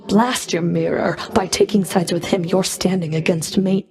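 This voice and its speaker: A woman playing a cold, proud Fury of the underworld in a video game, dry and cutting, with a creaky edge to her voice. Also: lowish voice, steady tone, normal speed, a little mellow, lightly acted. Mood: angry, accusing. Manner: dry, cutting, haughty, controlled. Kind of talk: video game character voice lines.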